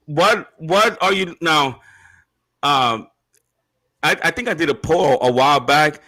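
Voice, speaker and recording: A man from Puerto Rico hosting a casual online show, animated, repeating himself and restarting often. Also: a little high. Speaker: host.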